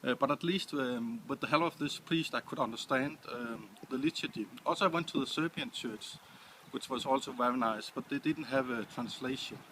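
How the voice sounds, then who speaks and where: Hesitant Danish-accented man, bright voice. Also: medium pitch, moderate pace, a little hiss or hum, rough recording. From Denmark. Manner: hesitant, many restarts and fillers. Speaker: interviewee. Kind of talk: casual interview.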